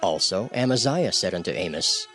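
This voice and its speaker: A man playing a solemn storyteller in a dramatized scripture narration, formal and measured. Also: low-pitched, expressive, pushed and bright, soft background music.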